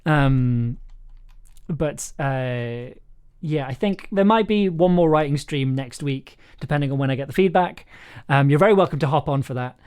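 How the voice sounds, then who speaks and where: A man's voice: fairly high pitch, moderate pace, a little mellow, clean tone. A man hosting a casual livestream chat, talking in an effusive, rambling, enthusiastic way. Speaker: host, audio drama writer and producer. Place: remote online call on a livestream.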